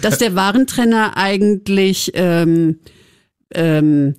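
A woman's voice: mid-range voice, takes it slowly, darkish tone, clear, resonant tone. A woman from Hesse co-hosting a podcast, teasing playfully with giggles in casual chat. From Hesse, Germany.

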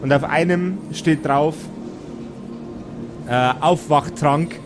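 A man improvising narration as a tabletop game master, halting and repeating his words. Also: a little high, over music. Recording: clearly noisy background ambience.

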